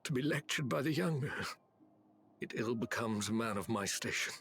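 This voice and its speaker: A man's voice: medium pitch, expressive, normal speed, fairly bright tone, faint music behind. A man playing a proud older nobleman of a fallen empire in a video game, with a haughty, formal, clipped manner. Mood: bitter. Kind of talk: dramatic video game voice acting.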